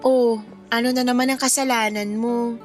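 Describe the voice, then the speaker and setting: A woman's voice: fairly high pitch, steady tone, normal speed, faint music behind, natural voice. A woman acting a caring, teasing young daughter in a radio drama. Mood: playfully reasoning.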